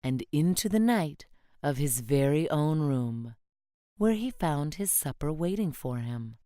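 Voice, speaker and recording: Slow, gentle storybook reader, woman. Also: deep voice, dry recording, studio quality. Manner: expressive, gentle, rhythmic. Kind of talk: read-aloud children's storybook narration.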